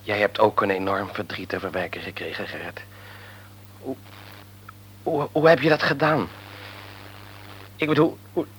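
An actor playing a middle-aged grieving father in a radio play, bitter and argumentative, sinking into quiet despair. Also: lowish voice, moderate pace, long pauses, a little hiss or hum, close to an everyday voice.

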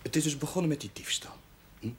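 A man's voice: a little high, fast, slight background noise, close to an everyday voice. A man playing an earnest, troubled parish chaplain in a television drama, speaking with agitated conviction. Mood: solemn, pastoral.

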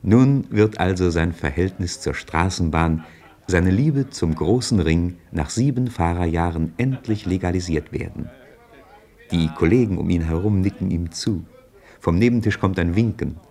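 Deep-voiced, knowing storyteller. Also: male voice, normal speed, warm tone. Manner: conversational, wry, present-tense commentary. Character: knowing, familiar male storyteller following his hero. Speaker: narrator. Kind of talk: scripted radio drama narration.

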